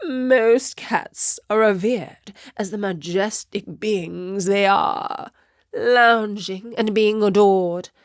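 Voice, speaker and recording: A woman's voice: fairly high pitch, very animated, very slow, a bit bright, dry recording, studio quality, heavily acted. A woman voicing a vain, indignant male cat tutor in a children's story, drawling pompously and full of grievance. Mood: indignant, aggrieved. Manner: pompous, drawling, theatrically aggrieved.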